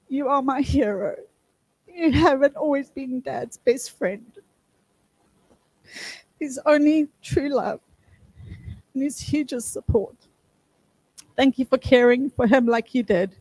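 A woman speaks tenderly at a memorial service, her voice emotional and sighing between phrases. Her pitch sits a little high, and the handheld mic gives a close, dry sound. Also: darkish tone, no echo, low-quality recording. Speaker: granddaughter giving a eulogy. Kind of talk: memorial eulogy. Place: indoor function room at a memorial service. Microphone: handheld microphone.

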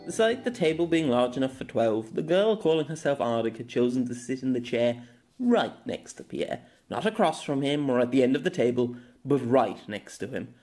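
A man's voice: mid-range voice, big pitch swings, normal speed, darkish tone. A man narrating a scripted novella as an audiobook. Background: music.

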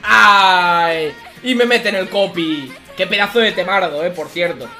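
A young adult man from streaming from home, chatting casually with loud excited outbursts and swearing. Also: high voice, a little slow, faint music behind. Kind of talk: casual live-stream chat.

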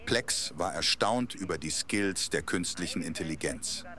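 A man narrating a scripted audio drama in a measured storybook delivery. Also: low-pitched, lively intonation, sharp tone.

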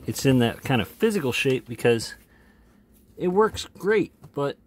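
A man giving a casual, unscripted product demonstration as an online seller, plainspoken and a bit halting. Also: medium pitch, big pitch swings.

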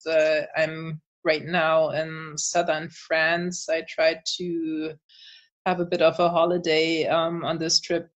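Woman, German accent, monotone, many fillers, interview guest on a video call. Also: deep voice, slow, muffled recording. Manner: thoughtful, many fillers, laughs often. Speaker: author and translator guest. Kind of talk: casual interview chat.

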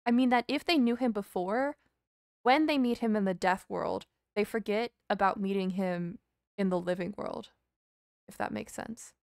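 A woman's voice: medium pitch, moderate pace, creaky, a little mellow, dry recording, clean studio sound. A woman live streamer chatting casually over a game, rambling and hesitant, swearing now and then. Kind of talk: casual chat, game playthrough commentary. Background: game music.

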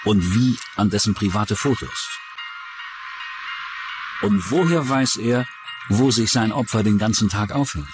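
A man's voice: on the low side, animated, a little slow, pauses often. A man reading scripted true-crime documentary narration. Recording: music bed under the voice. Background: music.

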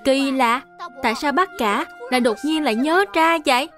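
A woman's voice: high voice, normal speed, fairly bright tone, faint music behind. A woman playing an earnest, caring little boy in a dubbed children's cartoon. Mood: curious, eager.

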